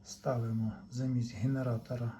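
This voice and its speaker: A man's voice: medium pitch, level tone, moderate pace, warm tone, slight background noise. A man giving an unscripted, matter-of-fact technical demonstration, talking in short fragments as he works. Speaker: hobbyist repair technician presenting a demonstration.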